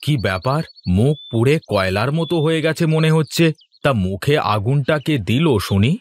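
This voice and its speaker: A man's voice: mid-range voice, expressive, normal speed, lightly acted. A man playing a teasing, mildly bossy husband and devoted son in a cartoon drama. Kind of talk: cartoon voice acting, fairy-tale drama.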